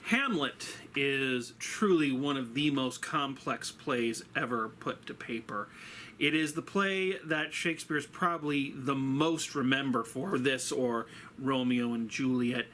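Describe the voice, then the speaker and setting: A man teaching and storytelling from a script, with a theatrical, emphatic delivery and dramatic pauses. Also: mid-range voice, bright, forceful tone, slight background noise. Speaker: teacher and host of a story series. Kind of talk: scripted literary lesson and storytelling.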